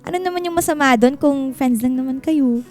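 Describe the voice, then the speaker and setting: Woman acting high-voiced, bossy Filipino best friend. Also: brisk, darkish tone, soft background music, lightly acted. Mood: chatty, teasing. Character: bossy, teasing female friend, chatty team organizer and matchmaker. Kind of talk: Filipino radio drama acting.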